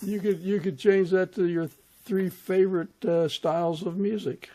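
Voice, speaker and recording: A man's voice: high-pitched, steady tone, normal speed, dark tone. A man hosting a casual podcast interview. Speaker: podcast host.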